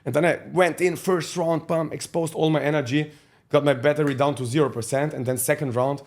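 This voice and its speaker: A man's voice: fairly high pitch, steady tone, fairly quick, darkish tone. A man. He is a podcast guest telling his life story candidly in blunt, profane English with loose grammar. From Austria. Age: 30s. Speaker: guest, fight coach.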